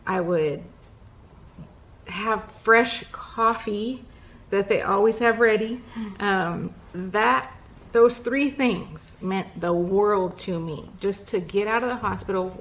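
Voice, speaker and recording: A middle-aged woman, a mother speaking with pauses and restarts about her family's experience. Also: mid-range voice, vocal fry, fairly bright tone, slight background noise, poor audio. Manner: pausing, with ums and restarts. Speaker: mother sharing testimony. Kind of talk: personal testimonial interview.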